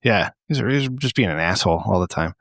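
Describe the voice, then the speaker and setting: A man's voice: sits low, lively intonation, fast talker, fairly bright tone, dry recording, studio quality. A man co-hosting a comedy podcast, ranting casually and irreverently with plenty of swearing. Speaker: podcast co-host.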